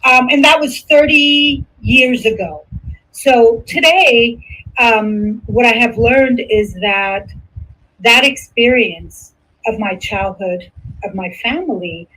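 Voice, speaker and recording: A woman's voice: a little high, fairly bright tone, husky, low-quality recording. A middle-aged woman from the Dominican Republic, a psychotherapist, answering interview questions in an earnest, reflective way. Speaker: guest, psychotherapist.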